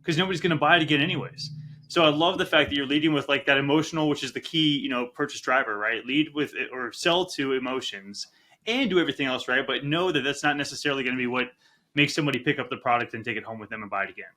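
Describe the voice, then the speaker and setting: Fast, bright-voiced male podcast host. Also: mid-range voice. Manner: quick, enthusiastic, agreeing. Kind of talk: casual interview conversation.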